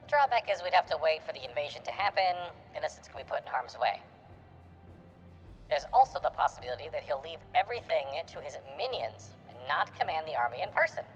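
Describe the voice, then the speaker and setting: A man voicing a composed, shrewd resistance member from a video game, speaking in a measured, formal way. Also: fairly high pitch, sing-song, voice pushed up. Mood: calm, strategic. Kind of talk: acted reading of video game dialogue. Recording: dull lo-fi sound with game music.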